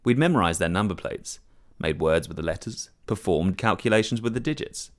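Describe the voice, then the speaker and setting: A man's voice: sits low, lively intonation, brisk. A man reading a short story as a scripted first-person narration.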